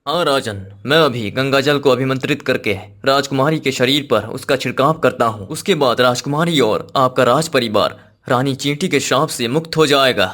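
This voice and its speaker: A man voicing a solemn, measured holy sage. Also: medium pitch, fairly bright tone, close to an everyday voice. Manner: formal, measured, Sanskritized diction. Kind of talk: cartoon moral-story acting.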